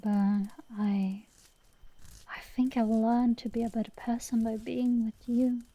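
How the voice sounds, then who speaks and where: A woman playing a brash but secretly tender dragon girl sorceress in an intimate ASMR roleplay, soft and sometimes whispering, teasing and affectionate, close to the mic. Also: a little high, level tone, measured pace, no echo. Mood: fond, teasing. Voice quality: soft, breathy whisper at times.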